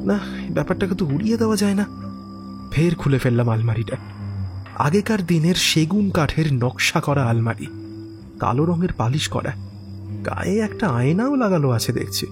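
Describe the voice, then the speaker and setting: Higher-pitched man narrating horror, with music. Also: moderate pace, long pauses. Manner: expressive, theatrical, with musing asides and exclamations. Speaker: horror audio story narrator. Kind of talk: dramatic first-person scripted narration of a horror story. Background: background music.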